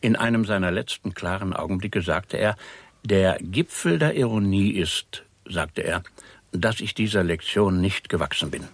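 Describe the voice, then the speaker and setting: A grave, low-voiced doctor. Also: male voice, dry recording. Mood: resigned, reflective. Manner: measured, formal, careful phrasing. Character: grave, cautious male doctor overseeing a risky experiment. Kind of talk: 1960s German radio play acting.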